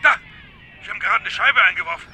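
A man's voice: high voice, lively intonation, very fast, background noise. An actor playing an agitated, indignant businessman under siege in a radio drama, protesting and threatening. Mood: panicked.

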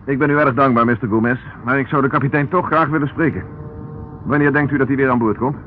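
An actor playing a composed, shrewd police inspector in a Dutch radio play, brisk and authoritative with dry irony. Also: male voice, medium pitch, lightly acted. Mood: polite, businesslike. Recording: muffled, noisy old radio play recording.

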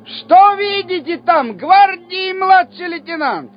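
An actor playing a folksy, bantering Soviet soldier in a wartime radio drama. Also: male voice, extremely high pitch, animated, takes it slowly, heavily acted. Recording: dull old radio recording with music.

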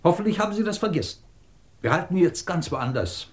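A man's voice: high voice, animated, fairly quick. A man acting a middle-aged, imperious and sardonic British professor who runs a research department, in a commanding 1950s German radio drama style. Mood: brisk, superior. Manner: commanding, clipped, probing, sardonic.

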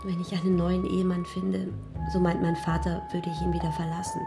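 Low, hushed, mysterious woman. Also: flat delivery, a little fast, gravelly, music in the background. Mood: guarded, probing. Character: guarded, mysterious married woman, object of longing. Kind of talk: radio drama acting.

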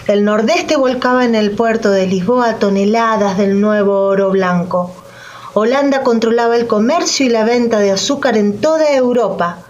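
A woman playing a factual narrator, delivering scripted history in a measured, expository manner for a radio drama. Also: a little high, darkish tone, dull audio, close to an everyday voice. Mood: informative. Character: factual female documentary narrator.

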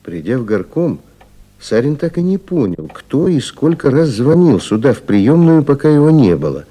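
A man's voice: medium pitch, very animated, normal speed, mellow. A man narrating a literary story as the storyteller of a radio play.

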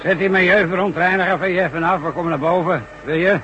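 A man's voice: high-pitched, fairly even intonation, fast, bright, forceful tone. A man acting a worried, matter-of-fact construction boss in a science fiction radio drama. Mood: calling out, impatient. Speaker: actor in a radio play. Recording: dull, noisy old radio recording.

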